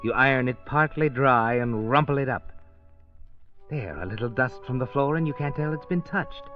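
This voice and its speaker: A man's voice: medium pitch, moderate pace, close to an everyday voice. An actor playing an ominous, all-knowing narrator who needles a guilty woman in a 1940s radio mystery, menacing and insinuating. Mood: sinister, coaxing. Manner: menacing, insinuating, clipped urging. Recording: dull old radio recording with music behind.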